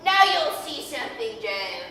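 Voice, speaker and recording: A gloating scavenger woman with a high, sharp voice that swoops around. She is sly and callous, lingering over her words in old radio-drama style, heard from afar in a very echoey hall. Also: sing-song, a little slow, gravelly, exaggerated voice. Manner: sly, cackling, relishing. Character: gloating, callous female scavenger hawking stolen goods. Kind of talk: 1930s radio drama staged reading. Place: performing arts center stage. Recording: echoey hall from far off, faint noise.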